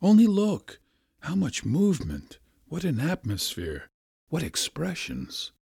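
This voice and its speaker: A man's voice: mid-range voice, big pitch swings, a little slow, dark tone, no room sound, studio quality. A man voicing an earnest, insistent young man who pleads effusively, in a raised voice. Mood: earnest pleading.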